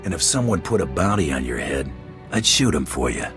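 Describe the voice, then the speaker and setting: Gruff bounty hunter for a video game, very deep, terse and unbothered, faint music behind. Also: male voice, sing-song, fairly quick. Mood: dryly friendly. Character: gruff, laconic hardened male bounty hunter.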